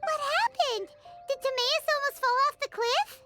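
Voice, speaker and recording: A woman voicing a tiny, childlike fairy companion in an anime game, squeaky, chirpy and curious. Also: lively intonation, normal speed, over loud music. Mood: cheeky, curious.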